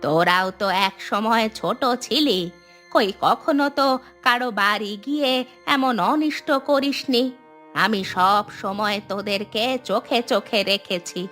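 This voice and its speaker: A woman's voice: mid-range voice, animated, measured pace, fairly bright tone, soft background music. A woman voicing a hardworking, homely elderly village mother in a cartoon, given to grumbling explanations. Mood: complaining. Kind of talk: Bengali cartoon story dialogue.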